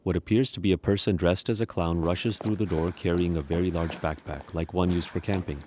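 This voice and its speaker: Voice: low-pitched, steady tone, fairly quick, noisy background. A narrator reading scripted stage directions for an audio play.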